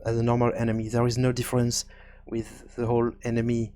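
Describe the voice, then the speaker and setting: A man giving an informal software tutorial, thinking aloud in a halting, self-correcting way. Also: medium pitch, monotone. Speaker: tutorial presenter.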